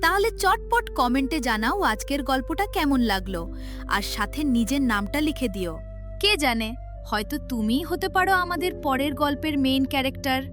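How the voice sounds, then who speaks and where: A woman's voice: high voice, animated, moderate pace, over music. A woman narrator reading a scripted story in a dramatic storytelling style.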